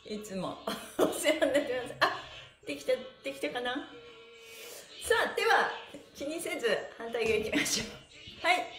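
A woman's voice: high-pitched, lively intonation, moderate pace, pauses often, fairly bright tone, soft background music. A middle-aged woman leading a stretch class in a cheerful, chatty and very polite, playful manner. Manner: cheerful, very polite, playfully addressing body parts and devices with honorifics. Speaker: stretch class instructor and livestream host. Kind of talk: chatty exercise instruction with counted chants. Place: small indoor room with bare walls and mat, echoey. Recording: echoey room sound.

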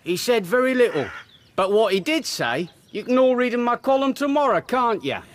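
A man's voice: extremely high pitch, sing-song, moderate pace. An actor playing a cheeky, insistent male newspaper reporter calling out questions in a radio drama.

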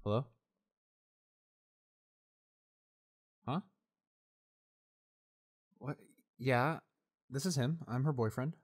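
A man playing a distraught, tender young boyfriend in an intimate audio roleplay, speaking in halting, broken phrases. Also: mid-range voice, breathy edge. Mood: confused, then shocked.

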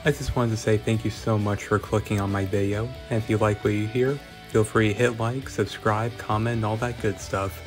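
A man's voice: fairly low pitch, fairly even intonation, normal speed, few pauses. A young man hosting his channel with a casual video intro. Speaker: channel host. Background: plucked guitar music.

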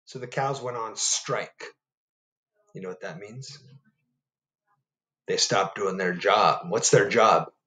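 A middle-aged man reading a picture book aloud to children at home on a webcam, animated and asking them questions. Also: lowish voice, slow pace, long pauses, echoey room. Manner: animated, asks the young audience questions. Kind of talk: children's storytime read-aloud. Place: home living room. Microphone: webcam at desk.

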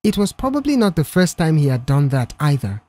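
A woman voicing a reserved, matter-of-fact young male mechanic in an audio story, in a measured manner. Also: deep voice, very animated, dark tone. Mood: firm.

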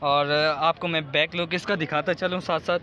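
A man with a Karachi Urdu accent chats casually while filming cars at an outdoor bazaar, asking sellers questions and repeating their answers. His voice sits high and sounds bright and forward. It is picked up by a handheld phone outdoors. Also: fairly even intonation, normal speed, lo-fi sound, a little hiss or hum. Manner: repeats prices and details back, asks sellers questions. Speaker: vlogger touring a car market. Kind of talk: casual walkaround car review. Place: outdoor car bazaar among crowds.

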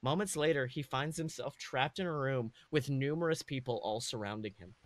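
A man's voice: medium pitch, moderate pace. A man narrating a comedic story in a dramatic read-aloud style as a podcast host.

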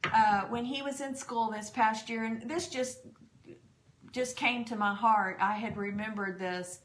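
A woman's voice: on the high side, fairly even intonation, unhurried, a bit bright, a little hiss or hum. A middle-aged woman pastor praying fervently and citing scripture, recorded at home on a desk microphone. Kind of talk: spoken prayer and testimony on a church broadcast. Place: home dining room. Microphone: desk podcast microphone.

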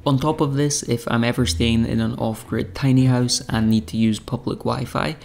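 A man's voice: medium pitch, steady tone, moderate pace, gravelly, darkish tone. A young man narrating a scripted video tour, with dry, wry humor. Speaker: YouTube channel host. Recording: voice-over with faint background music.

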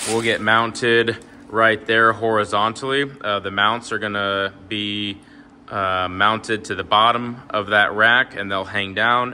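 A young man casually walking through his work trailer, talking in a relaxed, rambling way with lots of ums. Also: fairly low pitch, a little hiss or hum. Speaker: pressure washing business owner. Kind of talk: casual walkthrough tour. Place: indoor metal trailer, shop garage. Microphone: handheld phone camera.

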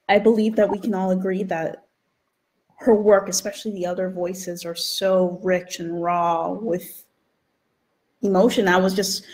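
Casting: middle-aged woman, event moderator reading audience questions, mid-range pitch, video-call sound. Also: darkish tone, rough recording. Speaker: host and moderator of a library event. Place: indoor book-lined room. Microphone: video call, computer microphone.

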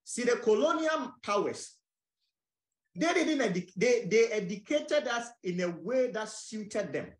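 A man's voice: high-pitched, sing-song, measured pace, reverberant. A man from Ghana, a podcast guest, arguing his point in an emphatic, insistent way and repeating phrases for stress. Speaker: guest, farmer. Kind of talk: conversational podcast interview.

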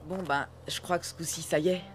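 A man's voice: a little high, fairly even intonation, fast talker, noisy background, close to an everyday voice. A man voicing a cocky, reassuring sixteen-year-old boy in a French cartoon dub, breezy and slangy. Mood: breezy, confident.

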